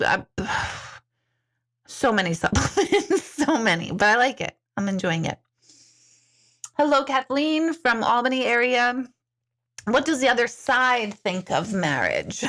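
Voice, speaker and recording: A woman's voice: a little high, fairly bright tone. Chatty middle-aged woman reading comments. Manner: chatty, rambling, reads comments aloud, laughs often. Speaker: intuitive medium answering viewer questions. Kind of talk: casual live-stream chat.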